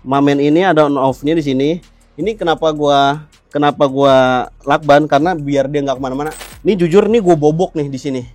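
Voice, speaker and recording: A young man with a Jakarta Indonesian accent and a slightly high voice walks through gear as a vlog host. He explains in a colloquial, casual way and often checks in with the viewer. Music plays behind him. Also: brisk, darkish tone, no echo. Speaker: motovlog host.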